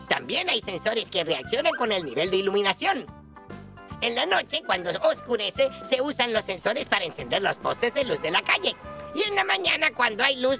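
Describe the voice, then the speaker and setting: A woman giving scripted educational narration in a clear, explanatory way. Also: fairly high pitch. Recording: music playing under the narration.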